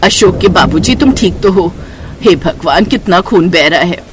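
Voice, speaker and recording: A woman acts an anxious older mother-in-law from a cartoon village tale. She frets and laments in a lowered pitch, with background noise. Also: lively intonation, normal speed, natural voice. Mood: alarmed. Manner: fretful, lamenting. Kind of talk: cartoon moral story dialogue.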